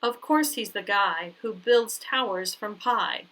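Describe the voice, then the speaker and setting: A woman's voice: mid-range voice, slow, fairly bright tone, recorded from a distance. A woman teacher reading a children's storybook aloud expressively, with a rhythmic delivery that leans on the rhymes.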